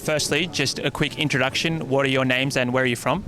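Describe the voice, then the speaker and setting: A man hosting a street interview outdoors, asking quick, upbeat questions. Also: medium pitch, flat delivery, noisy background.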